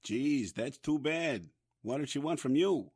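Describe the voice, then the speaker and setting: A man's voice: medium pitch, animated, measured pace, darkish tone, slightly rough. An actor playing a blunt, no-nonsense older man, a loyal prodding friend in an audio drama. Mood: concerned, reproachful.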